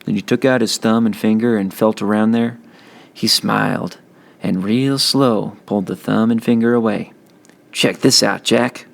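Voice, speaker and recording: Male audiobook narrator, lowish pitch with noticeable vocal fry, teacher reading a story aloud. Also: fairly even intonation, moderate pace. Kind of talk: first-person audiobook narration.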